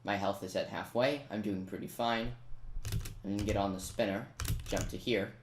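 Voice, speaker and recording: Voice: low voice, steady tone, normal speed. Casual game guide commentator in an echoey room. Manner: talks directly to viewers, chatty asides. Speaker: video creator giving a game guide. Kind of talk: casual step-by-step gameplay commentary. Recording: roomy echo, noisy, keyboard clicks.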